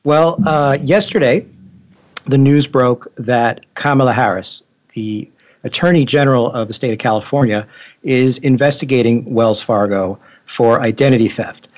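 A man speaking as a guest correspondent on a radio show, giving measured, conversational news commentary with frequent pauses. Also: medium pitch, dull audio. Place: radio studio.

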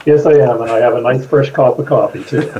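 A man's voice: medium pitch, fairly even intonation, fairly quick, mellow. A man from Canada, a business coach, putting friendly interview questions in an upbeat, folksy way.

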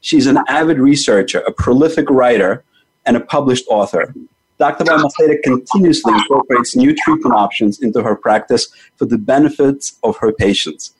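A man's voice: medium pitch, moderate pace, slightly rough, rough recording. A man hosting a health talk show, reading a scripted introduction and stressing key numbers by repeating them. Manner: emphatic, repeats key figures and words for stress. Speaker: host.

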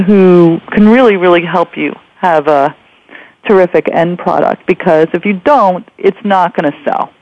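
Male podcast host, notably high voice, effusive but hesitant interviewer, heard on a muffled lo-fi feed. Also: a little mellow, dry recording. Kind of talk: casual interview hosting. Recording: dull lo-fi radio-like sound.

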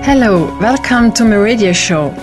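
A woman's voice: medium pitch, expressive, moderate pace, music in the background. A woman from Austria, a native German speaker with an Austrian German accent, hosting a radio talk show in careful, hesitant English.

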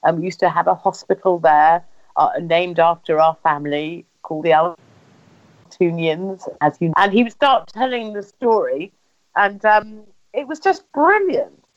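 A woman's voice: lowish voice, animated, unhurried. A woman with an English accent telling personal family stories in conversation, acting out remembered dialogue as she goes. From England. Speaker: interviewee recounting family history. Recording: slightly dull, lo-fi sound.

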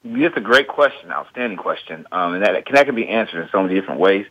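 A man from the US Midwest answering interview questions about running his small business, relaxed and thinking aloud. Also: low voice, fast talker, breathy edge, no echo, lo-fi sound. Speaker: guest beekeeper and small business owner.

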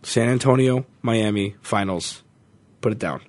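A man's voice: on the low side, a little slow, darkish tone. A man hosting a sports talk radio show, chatting casually with opinions and small self-corrections.

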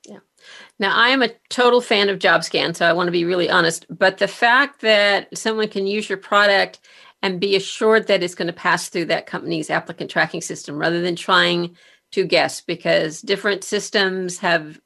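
A woman's voice: lowish voice, moderate pace, vocal fry, pushed and bright. A woman interviewing a guest in a conversational way, speaking as a career coach who adds her own experience.

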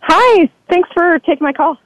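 A woman's voice: very high-pitched, animated, moderate pace, no room sound. A woman calling in to a talk show, eager and bubbly, with many ums as she asks her question. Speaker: caller. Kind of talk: phone-in question. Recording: tinny phone line.

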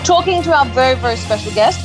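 Australian woman, radio talk host, higher voice, chatty, upbeat, faint music behind. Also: expressive, fast talker. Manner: chatty and upbeat, laughs easily. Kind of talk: casual radio chat.

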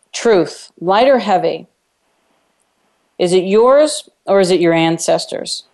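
A woman's voice: on the low side, a little mellow. A woman hosting a radio call-in show, coaching a caller in an encouraging, affirming way with probing questions. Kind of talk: live coaching conversation with a caller.

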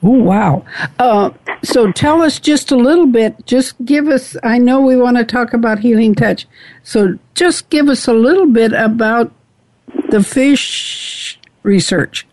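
A woman's voice: fairly high pitch, unhurried, a little mellow, low-quality recording. A woman hosting a talk radio interview, chatty and homey in a folksy way. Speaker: radio show host.

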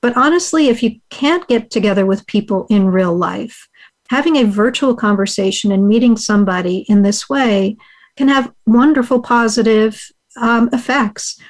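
Earnest woman guest on a video call. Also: fairly high pitch. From United States. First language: Hungarian. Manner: earnest, measured, uses frequent fillers. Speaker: podcast guest, investor and author. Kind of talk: reflective interview answers.

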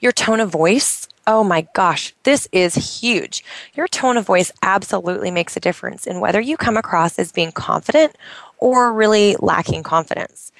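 A woman giving an enthusiastic motivational talk as a business coach, emphatic and full of exclamations, with a naturally loud voice. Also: mid-range voice, gravelly, no echo.

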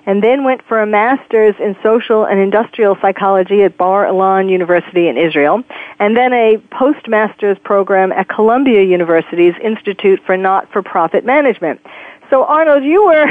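A woman's voice: mid-range voice, animated, moderate pace, dry recording. A woman hosting an interview, asking rambling, hesitant questions full of fillers. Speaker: radio interview host. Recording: dry, phone-like narrow sound.

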